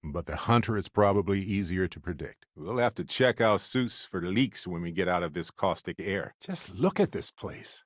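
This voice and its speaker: Wry video game space explorer; lowish register, lively expressive inflection, conversational, big-hearted. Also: male voice, normal speed, hardly any pauses, no echo, studio quality. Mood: thoughtful, conversational.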